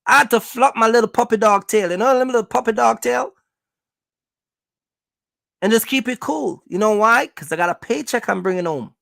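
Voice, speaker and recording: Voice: mid-range voice, moderate pace, creaky, a bit bright, no echo, studio quality. A speaker gives casual motivational advice as a host, talking emphatically, repeating points and checking in with listeners. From Jamaica. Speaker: host, trucking business coach.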